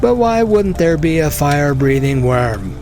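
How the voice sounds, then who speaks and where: Man doing a sarcastic higher-pitched adventurer. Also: big pitch swings, measured pace, music in the background. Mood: exasperated. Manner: muttering, groaning, sardonic. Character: sarcastic, grumbling fantasy adventurer. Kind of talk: fantasy audio drama dialogue.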